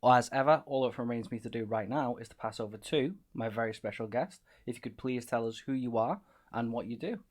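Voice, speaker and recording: An upbeat man hosts a podcast in a chatty way and welcomes listeners. His voice sits at a middle pitch, neither high nor low. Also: a little fast. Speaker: podcast host. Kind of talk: casual interview talk.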